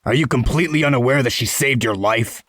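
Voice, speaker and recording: An actor playing a gruff, blunt, sardonic and protective man in a comic dub. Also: mid-range voice, fast, fairly bright tone, dry recording, studio quality, lightly acted. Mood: incredulous.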